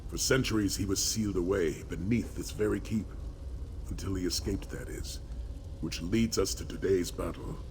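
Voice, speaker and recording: Game companion, male: grave warrior-scholar, very low voice, measured storytelling, noisy ambience behind. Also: a little mellow. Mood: earnest, intent. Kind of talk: fantasy video game acting.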